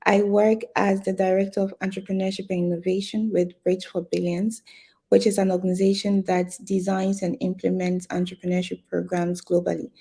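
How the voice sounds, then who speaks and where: A woman from Ghana speaking on a business panel, explaining her points in a measured way with frequent fillers. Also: mid-range voice, level tone, dark tone, breathy edge. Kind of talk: panel discussion.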